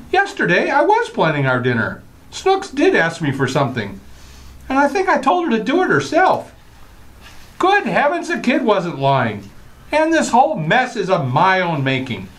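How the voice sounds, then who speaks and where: A man's voice: high-pitched, wildly swooping pitch, normal speed, fairly bright tone, exaggerated voice. A man playing an exasperated family father, eager to impress, in radio comedy style with a hesitant, stammering delivery. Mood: flustered. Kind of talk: old-time radio comedy acting.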